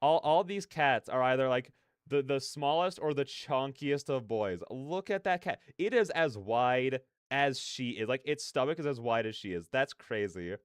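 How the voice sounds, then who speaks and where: Brief: young male reaction host, mid-pitched, casual and rambling, recorded dry and close. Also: lively intonation, normal speed, clean tone, dry recording. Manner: rambling, repeats phrases. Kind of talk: casual, off-the-cuff reaction commentary.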